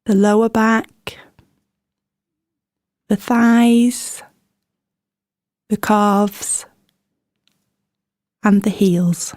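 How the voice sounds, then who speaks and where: Woman doing guided meditation, soft dark mellow voice, gentle n soothing, pauses a lot, really dry close sound. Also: mid-range voice, steady tone, no echo. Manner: soothing, gentle, unhurried pauses between phrases. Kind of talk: guided body scan meditation, scripted instruction.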